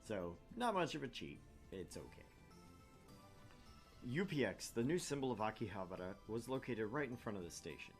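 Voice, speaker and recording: A man's voice: on the low side, very animated, normal speed, soft background music. A man hosting a gaming show, reading a visual novel aloud and commenting casually.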